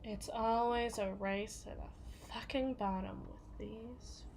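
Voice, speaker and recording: A woman playing a jaded, foul-mouthed bodyguard confiding in a partner in an intimate ASMR roleplay, halting and often sinking into whispers. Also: on the high side, steady tone, darkish tone, a little hiss or hum. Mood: sombre, weary.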